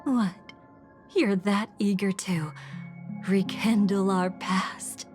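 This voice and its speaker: Drawling, taunting villainess, played by an actress. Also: female voice, mid-range voice, steady tone, slow, music in the background, natural voice. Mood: menacing, teasing. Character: taunting, treacherous, sultry villainess, adult woman schemer. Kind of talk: video game English dub acting.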